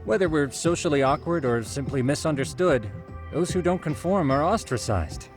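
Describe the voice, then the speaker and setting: A man's voice: on the high side, expressive, moderate pace. An actor voicing a nerdy, earnest male detective in a video game, explaining things in a precise, didactic way. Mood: earnest, lecturing. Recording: background music under the dialogue.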